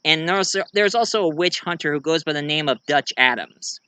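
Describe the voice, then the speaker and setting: An American man giving a casual show-and-tell monologue, rambling and halting as he corrects himself along the way. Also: fairly high pitch, lively intonation, bright, forceful tone, smooth, no echo. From United States. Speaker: amateur reviewer and story writer presenting own work.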